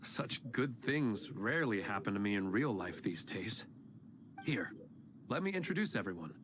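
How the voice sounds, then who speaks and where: An actor playing a humble, sincere middle-aged father who talks in a gentle, plain-spoken way, over game music. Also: fairly low pitch, very animated, normal speed, noisy background. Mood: earnest. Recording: game audio with music behind.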